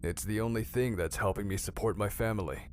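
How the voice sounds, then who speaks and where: An actor playing a guarded, deferential guard captain in an audio drama, formal and restrained. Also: male voice, on the low side, a little fast, a little hiss or hum, natural voice. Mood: guarded, defensive.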